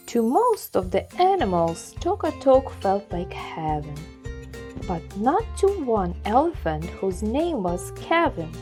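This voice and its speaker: A woman narrating a rhyming children's storybook aloud in an expressive, scripted style. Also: medium pitch. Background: background music.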